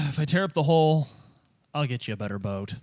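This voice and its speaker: A man's voice: medium pitch, lively intonation, fairly quick, darkish tone, no echo, studio quality. A man taking part as a player in a tabletop game, speaking in casual, improvised roleplay.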